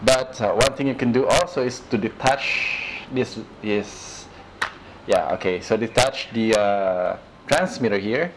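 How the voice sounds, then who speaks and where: A middle-aged man casually demonstrating an electronics modification at home, talking hesitantly with many pauses. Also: lowish voice, background noise. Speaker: electronics hobbyist. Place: home room indoors.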